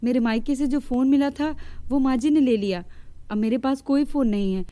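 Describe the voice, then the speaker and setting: महिला की आवाज़ में ऊँची, मुलायम आवाज़ वाली दुखी युवा बहू. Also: female voice, sits high, normal speed, dark tone, no room sound, close to an everyday voice. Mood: pleading. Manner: plaintive, earnest. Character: aggrieved young daughter-in-law, pleading and plain-spoken. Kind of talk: Hindi moral-story cartoon acting.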